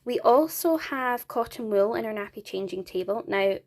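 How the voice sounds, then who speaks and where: A woman's voice: on the high side, lively intonation, normal speed, vocal fry. A woman from Scotland with a Scottish accent, talking through household products in a casual, upbeat vlog with small laughs and fillers. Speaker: parenting vlogger.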